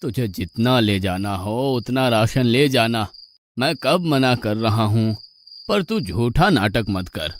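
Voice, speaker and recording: A stern ashram guru acted by a man in a cartoon fable, with a medium-pitched male voice. He speaks with authority, doubting and scolding. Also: animated, slow, slight background noise. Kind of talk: animated moral-story acting.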